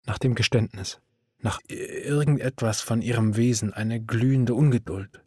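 An actor narrating a literary radio drama as a grave, measured male storyteller. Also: medium pitch, dark tone, husky, no room sound, natural voice. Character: male storyteller recounting a woman's fear, grave.

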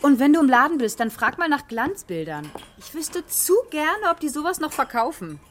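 A woman playing a romantic, nostalgic mother in a children's radio play, with a husky voice and a colloquial, exclaiming delivery. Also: high-pitched, sing-song, moderate pace, a bit bright, a little hiss or hum. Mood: firm, cheerful.

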